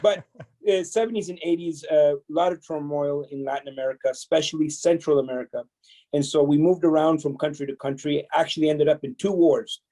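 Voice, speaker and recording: A man from El Salvador, in his fifties, shares his past as an interview guest. His voice sits a bit high but sounds dark and mellow. He talks casually, chuckles often and restarts his sentences. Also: brisk, rough recording. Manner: relaxed, chuckling, many fillers and restarts. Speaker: podcast guest. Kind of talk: storytelling interview.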